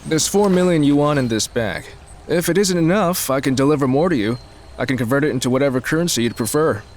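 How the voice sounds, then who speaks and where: A man playing a smug, wealthy Chinese businessman in an audio drama, with slick, persuasive, drawn-out talk. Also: mid-range voice, lively intonation, a little hiss or hum, natural voice. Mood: smug persuasion.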